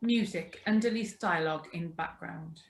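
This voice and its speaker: A woman's voice: on the low side, normal speed. A woman reading out scripted sound-effect directions during a live play reading on a video call.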